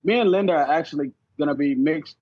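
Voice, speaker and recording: Dull lo-fi male guest, slightly high-pitched. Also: fast, darkish tone. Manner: colloquial, checks in with listener. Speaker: podcast guest, player and mentor. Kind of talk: casual storytelling.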